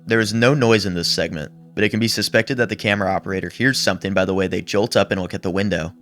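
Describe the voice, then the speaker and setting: A man narrating scripted horror commentary in a measured, explanatory way, with some casual asides. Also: on the low side, steady tone, a bit bright. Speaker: video essayist and narrator. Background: background music under the voice-over.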